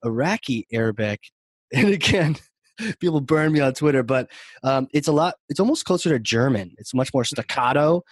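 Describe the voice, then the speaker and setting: A man's voice: mid-range voice, animated, moderate pace, slightly rough, no echo. Man from New Hampshire, podcast co-host, rambling chatty storyteller, laughs a lot, dry close mic. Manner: chatty storyteller, laughs often, restarts phrases. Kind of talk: casual chat.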